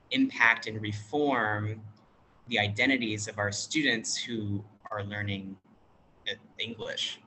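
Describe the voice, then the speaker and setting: A non-binary American teacher with a bright, forward voice speaks over a video call. They think aloud in a relaxed way, pausing and restarting often. Faint noise sits under the voice. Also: mid-range voice, poor audio. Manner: thoughtful, hesitant, with many fillers and restarts. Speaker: teacher at an international school; workshop facilitator. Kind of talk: casual interview talk.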